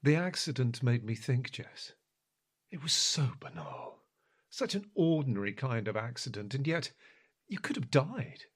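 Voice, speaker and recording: Earnest husband burdened by guilt, audio drama. Rough-edged husky tone, lively intonation, halting and emotional delivery. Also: male voice, mid-range voice. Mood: anguished, pleading. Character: earnest, guilt-ridden husband pleading with his injured wife.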